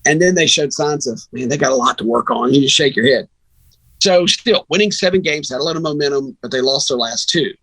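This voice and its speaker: A male sports broadcaster with a bright, forceful, somewhat raspy voice. He chats through team analysis in a conversational way, slipping into acted-out quotes as he tells stories. The sound is close and dry. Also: medium pitch, fairly quick, no room sound, poor audio. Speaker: radio sports broadcaster, guest.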